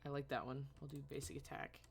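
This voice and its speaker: A woman's voice: a bass voice, little pitch movement, fast, vocal fry, faint music behind. A woman game streamer giving casual live commentary, muttering to herself and exclaiming at the game, tired from a long session.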